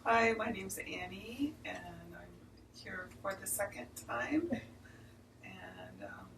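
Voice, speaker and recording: Soft-spoken woman with a gravelly edge. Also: mid-range voice, huge pitch swings, slow. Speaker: class participant. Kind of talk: sharing a personal experience. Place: clinic room. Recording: roomy, distant mic, faint noise.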